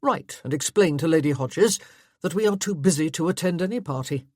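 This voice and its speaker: A woman's voice: low voice, lively intonation, brisk, a little mellow, no echo, clean studio sound. A woman voicing a curt, stubborn, sarcastic husband in a period romance audiobook, with clipped formal phrasing. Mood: curt, defiant.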